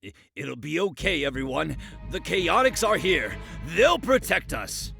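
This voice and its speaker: Timid, kindly cartoon handyman played by a man, fairly high creaky voice, polite and hesitant, music behind. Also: very animated, unhurried, exaggerated voice. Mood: soothing, timid. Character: gentle, timid, kindly male handyman villager. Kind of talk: animated comic dub acting.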